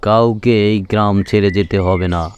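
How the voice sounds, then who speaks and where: A man's voice: fairly low pitch, fairly even intonation, measured pace, smooth, no echo, voice pushed down, close to an everyday voice. A man voicing an authoritative village exorcist in a cartoon, firm and reassuring.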